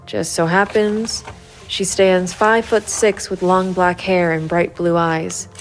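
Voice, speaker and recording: An actress playing a hard-boiled, brooding and quietly determined female private investigator in a gritty noir audio drama. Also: lowish voice, fairly even intonation, slow, faint music behind. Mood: grim, wry. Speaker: voice actor narrating and playing the lead of an audio drama. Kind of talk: gritty noir audio drama acting and first-person narration.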